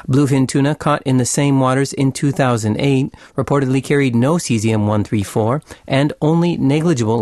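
A man's voice: medium pitch, darkish tone, no room sound, studio quality. A man reading a scripted radio news bulletin as a news reader.

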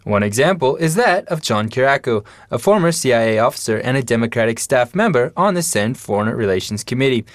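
A male newsreader delivering a radio news report in a steady, formal way. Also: medium pitch, dry recording.

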